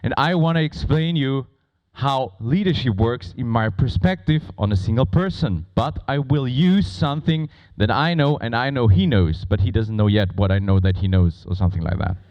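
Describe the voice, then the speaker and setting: A man who is not a native English speaker moderating a conference on stage, talking informally and playfully with a loud, projecting voice. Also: mid-range voice, lively intonation, normal speed, few pauses, dull audio. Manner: playful, bantering with audience. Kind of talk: informal live stage presentation. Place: conference stage before a large audience.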